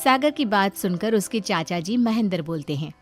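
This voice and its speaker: A woman's voice: medium pitch, animated, moderate pace, faint music behind. A woman giving scripted storytelling narration as the narrator of a moral tale.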